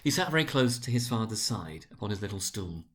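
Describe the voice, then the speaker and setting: A man narrating a story as a dramatic reading, theatrical and expressive. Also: on the low side, brisk.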